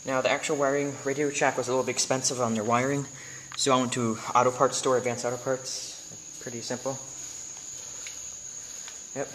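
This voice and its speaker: An American man giving a casual, hands-on video tutorial in an informal, halting way, thinking aloud as he goes. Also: medium pitch, level tone, fairly bright tone. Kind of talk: casual hands-on how-to demonstration. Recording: noisy amateur camera recording with clicks.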